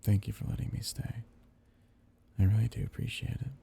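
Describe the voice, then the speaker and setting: Guy playing a soft teasing vampire count, asmr roleplay, low and gravelly, very intimate. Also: steady tone, brisk, slight background noise. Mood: wistful. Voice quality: husky, dark, gravelly. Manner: soft, teasing, murmured endearments. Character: tender possessive vampire count soothing his captive.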